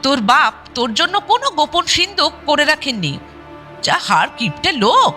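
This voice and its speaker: High, animated, scolding ghostly mother. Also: moderate pace, faint music behind, heavily acted. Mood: teasing. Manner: scolding, teasing, colloquial. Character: sharp-tongued, doting dead mother speaking from her photograph. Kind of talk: broadcast radio drama acting.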